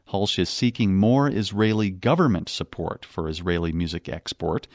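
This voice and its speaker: Low-voiced male radio reporter. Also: normal speed, no room sound, studio quality. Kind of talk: scripted news narration.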